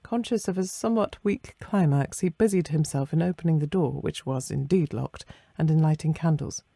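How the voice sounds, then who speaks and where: Mellow, low-voiced woman reading a story. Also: moderate pace, creaky, no room sound. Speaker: story reader. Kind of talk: dramatic audiobook narration of a ghost story.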